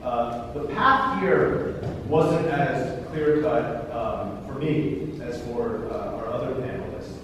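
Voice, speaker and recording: A man's voice: medium pitch, slow pace. Man on a panel in an echoey hall. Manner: wry, hesitant with many fillers. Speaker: travel business panelist. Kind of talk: panel discussion answer. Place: large hall with high windows. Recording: echoey hall, distant, background noise.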